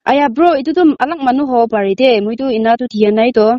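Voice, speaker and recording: A woman's voice: on the high side, very fast, no echo, lo-fi sound. A woman in a casual phone argument, protesting defensively and sounding exasperated. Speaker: prank call recipient.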